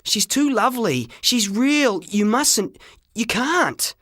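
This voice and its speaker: A man's voice: very high-pitched, big pitch swings, slow pace, a bit bright, no room sound, studio quality, voice pushed up, exaggerated voice. A man voicing a desperate, lovestruck schoolboy in an audiobook, pleading urgently and emphatically. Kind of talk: audiobook character acting.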